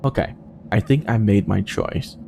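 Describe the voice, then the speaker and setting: A man's voice: lowish voice, a little slow, creaky, dark tone, a little hiss or hum. A man giving casual gameplay commentary, thinking aloud as he weighs his choices. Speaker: gamer commenting on own playthrough.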